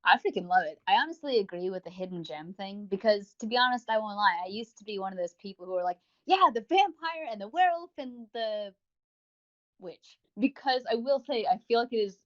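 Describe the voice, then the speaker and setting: A woman's voice: medium pitch, muffled recording. A woman co-hosting a podcast, chatting casually and effusively as she reviews audio dramas. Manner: effusive, informal. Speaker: podcast co-host.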